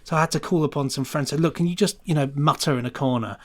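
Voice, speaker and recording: A man chatting casually and enthusiastically as a sound designer guest on a podcast, with an animated, chatty manner. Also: fairly high pitch, quick pace, very noisy. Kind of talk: casual enthusiastic interview chat.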